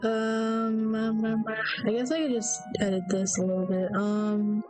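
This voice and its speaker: A woman's voice: a little high, level tone, unhurried, mellow. A young woman streaming her solo game development, chatting casually with lots of fillers and trailing thoughts, her nose stuffy and throat sore. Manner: rambling, hesitant, many fillers. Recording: faint music behind.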